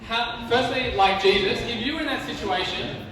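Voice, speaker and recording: A high-pitched man gives an informal youth group talk in a conversational way. The sound is heavily echoey and distant from the mic, with faint noise behind it. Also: normal speed, crowd noise. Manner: conversational, addressing the group with questions.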